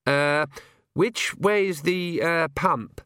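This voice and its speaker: Storybook role: timid, earnest young clergyman acted by a man, higher-pitched, faltering and quavery. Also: extremely slow, fairly bright tone, clear, resonant tone, no echo, studio quality. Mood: hesitant. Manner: hesitant, quavering. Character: nervous, earnest young male pastor.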